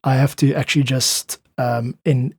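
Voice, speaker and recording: A man's voice: medium pitch, little pitch movement, moderate pace, darkish tone, slightly rough, no room sound, studio quality. A South African man speaking earnestly and thoughtfully in a reflective interview, voicing his opinions as a guest. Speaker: podcast guest, independent musician.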